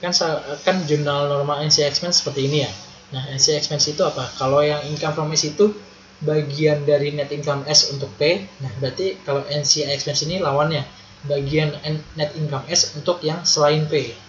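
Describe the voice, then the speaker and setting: A young man with a fairly high voice teaches in a casual tutorial style. He goes step by step, asking questions and answering them himself, with fairly even intonation. The recording is slightly dull, with faint noise behind him. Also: brisk. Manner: step-by-step, conversational, rhetorical questions. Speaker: accounting tutor. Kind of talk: casual explanatory video lesson. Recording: muffled lo-fi with faint background noise.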